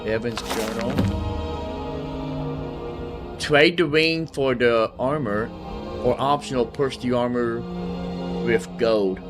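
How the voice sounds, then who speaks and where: A man's voice: mid-range voice, slow, pauses often. Man chatting over game music. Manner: informal, repeats phrases to himself. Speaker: gaming video host. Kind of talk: casual let's play commentary.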